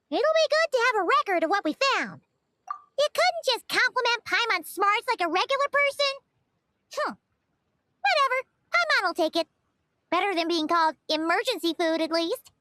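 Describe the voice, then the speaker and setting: A woman voicing a small, excitable, childlike floating girl companion in a video game, with a squeaky, chirpy delivery. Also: moderate pace, no room sound, studio quality, exaggerated voice. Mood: mildly huffy. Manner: chirpy, refers to self in third person. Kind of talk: video game character acting.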